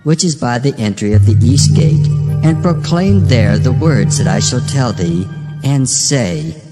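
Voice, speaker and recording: Loud music swells around a solemn male divine voice, acted by a man. It commands with deliberate authority, in the manner of dramatized scripture. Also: medium pitch, animated, close to an everyday voice. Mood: solemn commanding.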